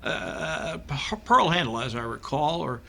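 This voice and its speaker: An actor playing a nervous, dithering doctor who stammers and hesitates with dry humour, in old-time radio comedy style. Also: male voice, on the high side, measured pace, gravelly, noisy background. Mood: flustered. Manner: hesitant, stammering, dryly comic. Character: nervous, dithering American doctor and husband in comic thriller.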